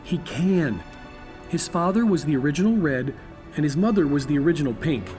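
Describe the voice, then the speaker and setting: A man voicing a middle-aged veteran ranger turned scientist, calm and measured. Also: a little high, soft background music, close to an everyday voice. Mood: thoughtful.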